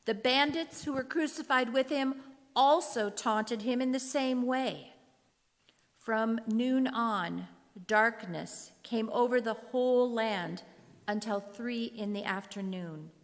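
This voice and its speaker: A woman's voice: fairly high pitch, takes it slowly. A woman narrating scripture solemnly from a script, in a large reverberant room. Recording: echoey hall with long reverb.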